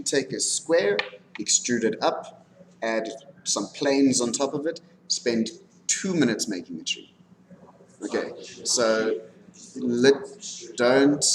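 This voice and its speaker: A man lecturing informally on animation software, talking through a live demonstration and often checking in with his students. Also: mid-range voice, low-quality recording. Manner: instructive, frequently checking in with "okay". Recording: background noise, room ambience.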